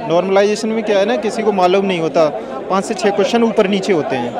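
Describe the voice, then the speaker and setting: A man at a protest, speaking in a rushed, emphatic way. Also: high voice. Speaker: student protester. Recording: crowd noise outdoors.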